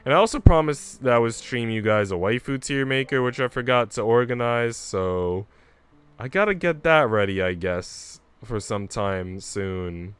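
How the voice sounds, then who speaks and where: A man's voice: mid-range voice, lively intonation, moderate pace, clear, resonant tone, dry recording. A man chatting informally and casually as he livestreams a game. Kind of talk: casual gaming stream chat and reading a visual novel aloud.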